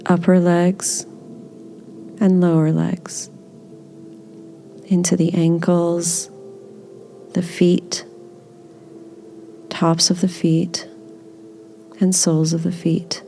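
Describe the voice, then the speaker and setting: Woman, meditation guide, very slow, even-toned, gentle, soothing, faint background noise. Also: fairly low pitch, creaky. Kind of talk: guided body scan meditation.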